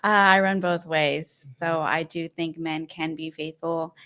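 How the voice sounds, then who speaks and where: A woman's voice: low-pitched, fairly even intonation, unhurried, fairly bright tone. A woman in her late twenties, a podcast panel guest, chatty and laughing lightly between points. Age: 20s. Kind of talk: casual chat.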